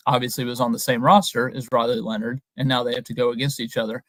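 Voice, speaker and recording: A young man, a guest reporter, giving measured, analytical answers in a casual interview over a video call. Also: medium pitch, fairly even intonation, no echo. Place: indoor room at home. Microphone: webcam on a video call.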